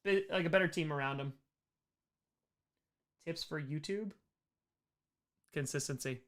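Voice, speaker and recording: An American man hosting a casual livestream Q&A, chatting in an animated way and repeating short phrases for emphasis. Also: a little high, measured pace. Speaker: livestream host answering chat questions.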